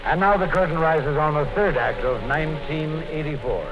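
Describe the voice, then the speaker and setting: A man's voice: a little high, moderate pace, over music. Man announcing on 1950s radio. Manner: crisp, formal introductions. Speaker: program host. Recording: dull lo-fi 1950s radio broadcast.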